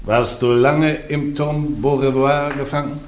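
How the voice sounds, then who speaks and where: An actor playing a stern church interrogator who fires pointed questions at the accused in a radio play. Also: male voice, medium pitch, little pitch movement, a little slow, slight background noise, lightly acted. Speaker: trial interrogator. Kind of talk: classic radio play acting. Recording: roomy echo.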